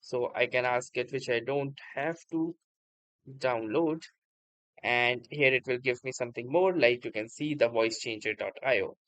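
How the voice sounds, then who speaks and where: A man presenting a casual software tutorial, speaking in a halting, slightly stumbling way with repeated stock phrases. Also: mid-range voice, no room sound, rough recording. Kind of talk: casual screen-recording software tutorial narration.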